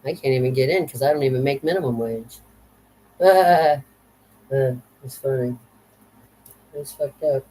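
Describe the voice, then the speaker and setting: A woman's voice: a bass voice, a little slow, pauses often, warm tone, low-quality recording. Blunt, profane woman in her fifties ranting. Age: 50s. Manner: blunt, profane, repetitive, sardonic. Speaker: vlogger. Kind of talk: unscripted rant monologue.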